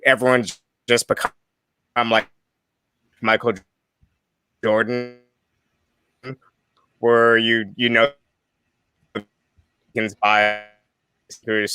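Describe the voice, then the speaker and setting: A man interviewing a guest over a video call, halting and searching for words as he asks. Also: mid-range voice, monotone, bright, forceful tone, clean tone, no echo, poor audio. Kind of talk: interview question.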